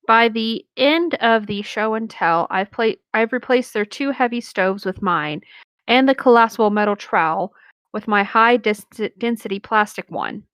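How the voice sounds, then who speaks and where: A woman's voice: a little high, moderate pace, fairly bright tone, clear, resonant tone, dry recording. A woman co-hosting a story reading, narrating in the first person with brief casual reactions. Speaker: co-host reading stories aloud.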